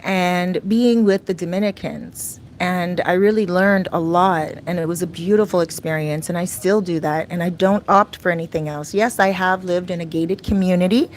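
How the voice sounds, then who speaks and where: Chatty woman with a slightly raspy voice. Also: lowish voice, steady tone, normal speed, few pauses, a little hiss or hum. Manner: chatty, rambling, with many fillers and restarts. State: slightly raspy voice, clears throat often. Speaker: vlogger and life coach. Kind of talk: casual talk to camera. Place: her home in a Dominican neighborhood.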